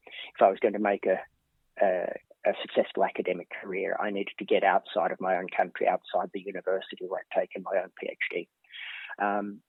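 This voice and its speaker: A man's voice: fairly low pitch, husky, no echo. An Australian man in his fifties, a professor giving thoughtful interview answers with many ums and restarts. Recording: dry thin phone-like line.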